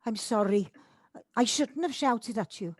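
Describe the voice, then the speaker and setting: A woman's voice: a little high, animated, unhurried, darkish tone. An amateur actress playing a reserved, self-doubting older woman in an audio drama, kindly but wounded and hesitant. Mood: apologetic. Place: recorded at home on a personal device.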